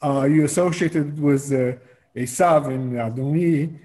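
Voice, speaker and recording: A man in a class asking a question, halting with fillers. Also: fairly high pitch, mellow, a little hiss or hum. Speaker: class participant. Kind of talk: audience question.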